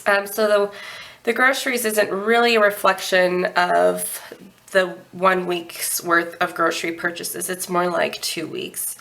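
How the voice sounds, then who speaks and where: Slow, gravelly-voiced woman with a head cold. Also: on the low side, steady tone, fairly bright tone. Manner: unhurried, with frequent ums and throat clearing. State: congested with a head cold, nasal. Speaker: budgeting channel host. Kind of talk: casual budget walkthrough.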